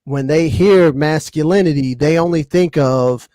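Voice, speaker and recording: A man's voice: fairly high pitch, unhurried, dark tone, dry recording. An American man on a podcast panel, explaining his points carefully in a casual conversational way.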